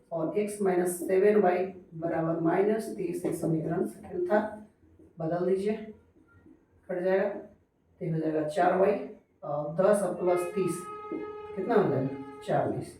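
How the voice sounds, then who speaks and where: A man's voice: high-pitched, a little slow, mellow. Man teaching maths in an echoey room. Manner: asks the class questions and answers them himself. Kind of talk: explaining a maths problem step by step. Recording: echoey room, voice far from the mic.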